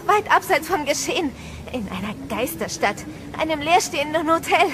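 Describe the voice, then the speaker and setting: Fugitive witness, horror audio drama, played by a woman: high-pitched, hard-edged, urgent, noisy ambience. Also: big pitch swings, normal speed. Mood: tense, urgent. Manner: terse, urgent. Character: tough, urgent female mafia witness on the run. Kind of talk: German audio drama acting. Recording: clearly noisy ambient effects behind voice.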